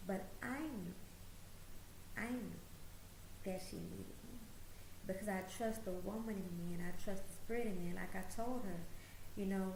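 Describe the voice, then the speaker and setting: A woman's voice: mid-range voice, normal speed, long pauses, noisy background. A woman in her late forties hosting a talk, who lectures emphatically and repeats her points for stress. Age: 40s. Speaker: host. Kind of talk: motivational talk show monologue. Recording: roomy echo, faint ambience.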